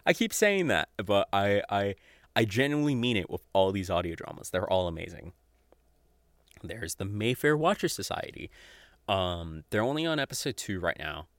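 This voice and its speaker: A man's voice: on the low side, animated, moderate pace, a bit bright, no room sound. A man hosting a podcast, rambling casually and enthusiastically through recommendations, informal and sweary, often with a chuckle in his speech. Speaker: podcast host. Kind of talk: casual rambling monologue recommending audio dramas.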